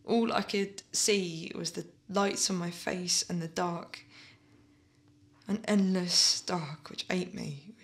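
An actress playing a haunted, obsessively loving daughter in an audio play, speaking with halting intensity and repeating her words. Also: fairly low pitch, steady tone. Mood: serene, obsessive. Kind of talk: dramatic monologue, audio play.

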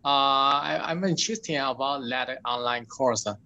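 A man's voice: mid-range voice, a little slow, pushed and bright. A man with a Taiwanese accent, asking hesitant, apologetic questions with many fillers during an online webinar. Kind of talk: halting questions in a video call.

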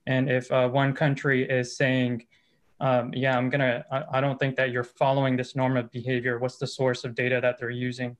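A man's voice: medium pitch, monotone, moderate pace. A man from the audience asking a question on a video call, hesitant and searching for words.

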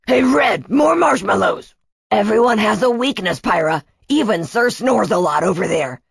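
A woman's voice: mid-range voice, expressive, normal speed, creaky, slightly rough, no echo, clean studio sound, full character voice. A woman voicing a scheming, power-hungry male Tiki villain in a children's story, mocking and boastful. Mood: plotting. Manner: sneering, boastful.

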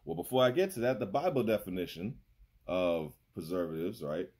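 A man's voice: low voice, expressive, fairly quick, darkish tone, slightly rough. A man in his thirties preaching a sermon from a small room into a phone, conversational and laughing easily, often asking "right?". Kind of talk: casual sermon over a video call. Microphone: phone held close.

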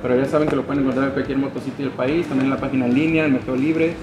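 A man's voice: mid-range voice, little pitch movement, rapid-fire, noisy background. A young man with a Mexican Spanish accent, a sales adviser explaining a product casually, with frequent filler words. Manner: casual, many "este" fillers. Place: motorcycle gear shop, indoors. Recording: echoey shop with music.

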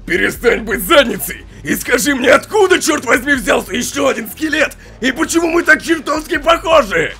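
A man voicing a grumpy, suspicious, foul-mouthed skeleton henchman in a comic dub, gruff and irritable. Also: very high voice, lively intonation, normal speed, hardly any pauses, bright, forceful tone, soft background music, exaggerated voice. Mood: angry. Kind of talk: fan comic dub acting.

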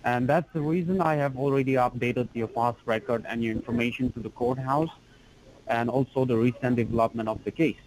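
A man's voice: medium pitch, steady tone, a little mellow, a little hiss or hum. Insistent man on a phone line. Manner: insistent and formal, with halting restarts. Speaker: phone scammer posing as an officer. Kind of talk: scripted phone call.

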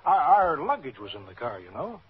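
A man's voice: fairly high pitch, sing-song, a little fast. An actor playing an indignant, self-important male tourist in an old radio drama, fussy and formal. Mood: impatient. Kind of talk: 1950s radio drama acting. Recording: muffled old radio recording.

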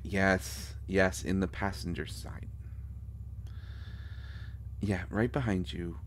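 A man playing a slow, deep-voiced fussy vampire doctor. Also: a little hiss or hum. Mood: coaxing, impatient. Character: immortal male vampire doctor, fussy, protective, teasing friend. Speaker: audio roleplay voice actor. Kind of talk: intimate scripted audio roleplay.

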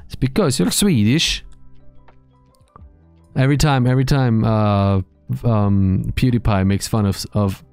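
A man in his thirties talks with viewers on a live stream, loose and joking, dropping swear words and restarting his sentences. His voice is smooth and clear and sits at an ordinary pitch. It is recorded dry on a close mic, with faint music behind him. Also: measured pace, a little mellow, no room sound. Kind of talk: casual chat reading live chat. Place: small gaming room lit purple. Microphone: studio mic on boom arm, headphones.

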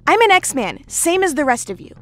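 A woman's voice: high voice, sing-song, normal speed, fairly bright tone, faint music behind. A woman voicing a defiant teenage girl hero with a snappy, indignant manner, in an audio drama.

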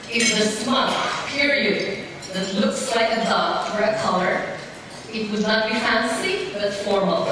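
A middle-aged woman giving a measured, carefully phrased poetry recital as a reader at an awards ceremony. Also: on the high side. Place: large dark auditorium at an awards event. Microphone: lectern microphone heard through far camera. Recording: echoey hall from far off, noisy.

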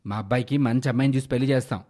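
A traditional parent from a storybook, dismissive and set in his ways, as voiced by a male narrator. He speaks flatly at an ordinary middle pitch. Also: very fast, natural voice. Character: dismissive, traditional father-in-law.

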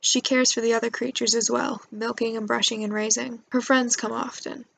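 A woman's voice: fairly high pitch, little pitch movement, normal speed, creaky, fairly bright tone, rough recording. A young woman, a student storyteller, reading a scripted poetic monologue. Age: young adult.

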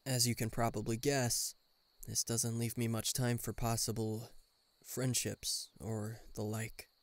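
A man's voice: medium pitch, level tone, unhurried, no room sound, lightly acted. A man playing a guarded government bodyguard in an intimate listener roleplay, dryly teasing and reluctantly tender. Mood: teasing, reserved. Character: gruff, guarded male government bodyguard, secretly fond.

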